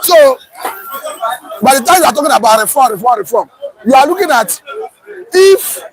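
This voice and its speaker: A Nigerian man with a Nigerian accent, forcefully arguing politics in a street debate. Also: very high voice, lively intonation, moderate pace, creaky, a crowd in the background, poor audio. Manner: forceful, insistent.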